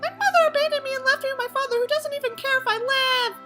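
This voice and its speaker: A woman voicing a wounded, defiant, melodramatic teenage girl in an audiobook, with an overwrought, halting, emphatic delivery. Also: extremely high pitch, over loud music, pitched above the performer's own voice. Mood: tearful, struggling. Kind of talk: exaggerated dramatic audiobook character reading.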